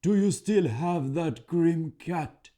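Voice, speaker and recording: A man voicing a wary troll who calls out loudly as if across a forest. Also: on the high side, expressive, voice pushed up. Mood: wary calling. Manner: loud, drawn-out calling. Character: distant troll calling warily through the forest.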